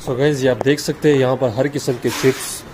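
A man's voice: medium pitch, fairly even intonation, brisk. A young man from Pakistan chatting casually to viewers as he walks through a market, filming himself on a phone held at arm's length. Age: young adult. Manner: chatty, talks to viewers while walking. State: tired. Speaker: vlogger. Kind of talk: casual walking vlog. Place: busy street market outdoors. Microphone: phone camera held at arm's length. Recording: loud street noise on phone. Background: street traffic noise.

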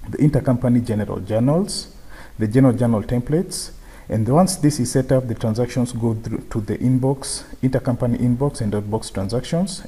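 A man presenting an unscripted software tutorial, explaining step by step and often repeating his phrases. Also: medium pitch, breathy edge. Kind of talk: unscripted screen-share tutorial.

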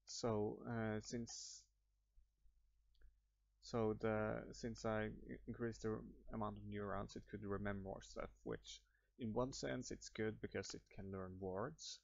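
A man's voice: on the low side, fairly even intonation, slow pace, vocal fry, no room sound. A young man with a Norwegian accent improvising a rambling screen-recording walkthrough, full of fillers and restarts. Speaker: YouTuber. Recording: muffled dry home mic.

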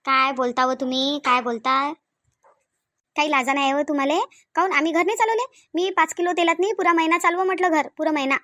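Woman playing a shrill, scolding Varhadi mother-in-law. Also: fairly even intonation, fairly quick, bright, forceful tone, no echo, clean studio sound. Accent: Varhadi Marathi from Vidarbha. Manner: nagging, sarcastic, full of rhetorical questions. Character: domineering, miserly, scolding rural mother-in-law. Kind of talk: cartoon comedy dialogue.

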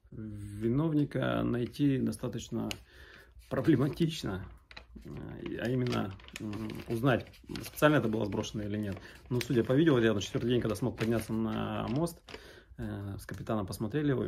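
A man's voice: lowish voice, vocal fry, darkish tone, slight background noise. A man in his thirties from Arkhangelsk in northern Russia, answering questions in a casual vlog with hesitant, broken phrasing. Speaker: sailor vlogger. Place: small indoor room at home.

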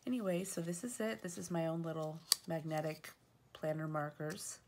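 Sniffly woman with a gravelly low voice. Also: moderate pace, background noise. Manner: chatty, rambling with fillers and self-corrections. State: sniffly from allergies. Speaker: planner vlogger. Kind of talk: casual show-and-tell narration. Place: at home at a table.

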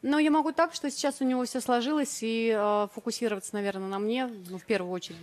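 A woman's voice: fairly high pitch, steady tone, fast, darkish tone. A woman in her thirties, a guest on a TV dating show, answering hesitantly and searching for words. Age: 30s. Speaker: bride candidate. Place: TV studio.

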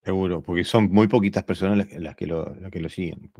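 Man, Rioplatense Spanish accent, podcast host, agreeable, low voice, dry sound. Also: fairly even intonation, quick pace, warm tone, dry recording. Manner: agreeable, brief backchannels, laughs easily. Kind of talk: casual podcast conversation.

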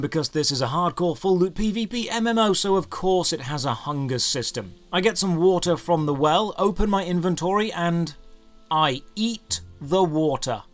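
A high-pitched man delivers a scripted game review as a voice-over. He sounds sardonic and exasperated, and music plays faintly behind him. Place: voice-over recorded off screen over gameplay footage.

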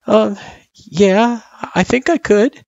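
Male reader as young girl, sweet and unsure, high halting voice, dry sound, for narrated fiction. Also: vocal fry, darkish tone, no room sound, highs cut off, full character voice. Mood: unsure, insistent. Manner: hesitant, halting, plaintive. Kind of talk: character voice in story narration.

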